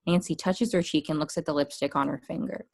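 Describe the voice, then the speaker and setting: A woman's voice: low-pitched, fairly even intonation, fast talker. A woman narrating a script's stage directions at a table read.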